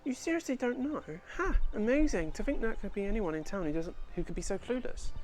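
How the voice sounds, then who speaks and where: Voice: mid-range voice, sing-song, fairly quick, darkish tone, pitched above the performer's own voice, natural voice. A player voicing a smug, teasing townsperson. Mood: amused scorn.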